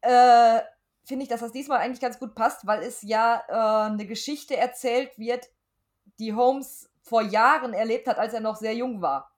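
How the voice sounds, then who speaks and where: High-voiced woman chatting. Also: fairly even intonation, moderate pace. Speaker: podcast co-host discussing an audio drama. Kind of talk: casual conversational review.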